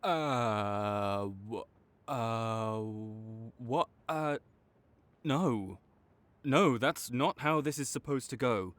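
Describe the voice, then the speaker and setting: A man's voice: mid-range voice, very slow, fairly bright tone. A man acting a cocky, teasing but secretly caring supervillain rival in a dramatic audio roleplay, bantering and exasperated. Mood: flustered. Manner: bantering, exasperated, faltering into awkward concern.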